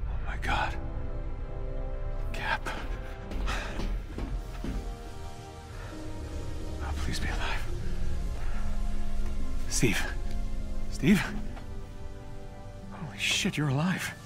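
An actor playing a wisecracking armored superhero inventor in a video game, muttering wryly to himself. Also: male voice, a little high, animated, very slow, pauses often, over music. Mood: shaken, relieved.

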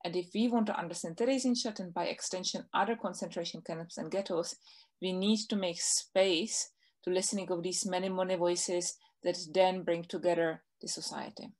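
A woman in her forties with a Czech accent and a lowish voice speaks thoughtfully as a guest historian. She talks on a video call from a home study, articulate and animated with her hands. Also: moderate pace. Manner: thoughtful, articulate, gestures while talking. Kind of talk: webinar interview.

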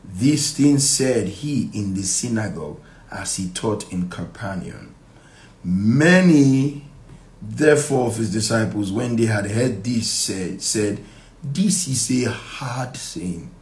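A middle-aged Nigerian man leading a live Bible study as pastor, reading verses and explaining them emphatically and deliberately, with repeated phrases and rhetorical questions. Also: lowish voice, animated, darkish tone. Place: small indoor room. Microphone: phone at arm's length. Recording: echoey room, faint background noise.

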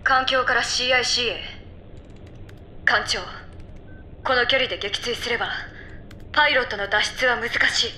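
A woman's voice: fairly high pitch, normal speed, long pauses, bright, forceful tone, slight background noise, rough recording. A woman voice actor playing a principled naval second-in-command, speaking formally and earnestly in a military audio drama. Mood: concerned.

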